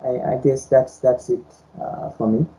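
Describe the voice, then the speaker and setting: A man from Guinea whose first language is Fulani, explaining things at a measured pace with lots of "um"s as a podcast guest. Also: mid-range voice, flat delivery, dark tone. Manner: measured, explanatory, with many fillers. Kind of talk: casual podcast discussion. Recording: dull lo-fi recording.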